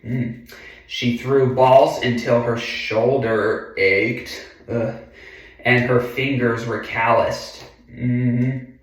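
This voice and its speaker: A man reading a children's book aloud in a deliberate way, adding casual, chatty asides and murmured mm-hmms. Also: mid-range voice, fairly even intonation. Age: adult. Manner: slow, deliberate delivery with frequent murmured mm-hmms. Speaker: reader of a children's picture book. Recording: very echoey room, far from the mic.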